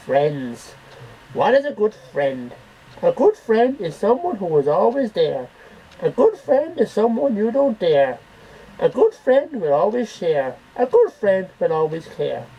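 A man's voice: high-pitched, very animated, measured pace, exaggerated voice. A man voicing an earnest, theatrical poetry reciter in an amateur home recording. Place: small cluttered bedroom. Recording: dull noisy webcam audio.

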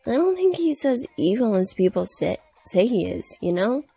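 A woman acts out a gruff young male hero for a comic dub, blunt and a little hesitant. The voice is husky and mellow, with faint music behind it. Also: fairly high pitch, sing-song, moderate pace, full character voice. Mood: reluctant, thoughtful. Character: gruff young male hero, devoted husband, hot-tempered.

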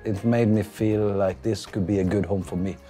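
A man in his forties from Norway with a Norwegian accent, a football coach speaking calmly in an interview, with frequent fillers and restarts. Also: fairly low pitch, moderate pace. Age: 40s. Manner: calm, thoughtful, many fillers and restarts. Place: outdoor stadium stands.

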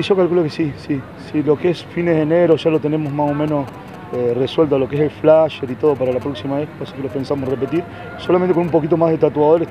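A middle-aged man with an Argentine accent speaking casually as an organizer being interviewed outdoors. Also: fairly high pitch. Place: outdoor yard. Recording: dull audio, clearly noisy surroundings. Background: outdoor ambient noise.

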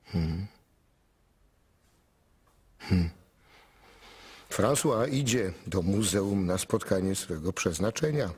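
An actor playing a wry, musing storyteller in a radio play, with a husky voice and unhurried manner. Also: male voice, low-pitched, animated, a little mellow, exaggerated voice. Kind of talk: dramatic third-person narration.

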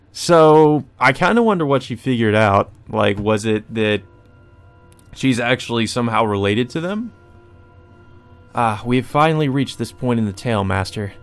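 A man hosting a let's play, reading a visual novel aloud and reacting casually. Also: lowish voice.

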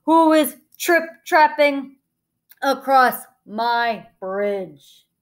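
A big hairy troll from a children's storybook, put on by a woman, mean, hungry and demanding. The voice booms and growls very slowly, swinging loudly up and down on stretched-out words. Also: very animated, heavily acted. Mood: demanding, menacing. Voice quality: booming, growling. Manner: slow, drawn-out, demanding.

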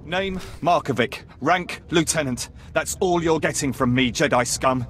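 A man's voice: a little high, unhurried, fairly bright tone, slight background noise. A man playing a hostile, contemptuous Imperial lieutenant in a video game, barking his words. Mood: defiant.

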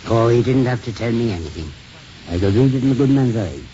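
An actor playing a stubborn, sulky elderly Irish priest in 1950s radio style, halting and repeating his words. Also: medium pitch, expressive, mellow, close to an everyday voice. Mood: stiff, wounded. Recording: muffled, noisy 1950s radio broadcast.

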